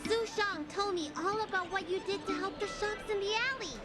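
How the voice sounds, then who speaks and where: A woman voicing a playful, proud, childlike little girl in a video game, with loud music behind her. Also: extremely high pitch, brisk. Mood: wistful, excited. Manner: childlike, expressive. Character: cute, playful little girl healer, food-loving and proud. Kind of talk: video game character dialogue.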